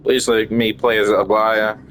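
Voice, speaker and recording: A man's voice: fairly low pitch, level tone, a little slow, fairly bright tone, soft background music. A man giving casual, slangy live commentary as he plays a game, swearing freely. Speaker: game streamer reacting while playing.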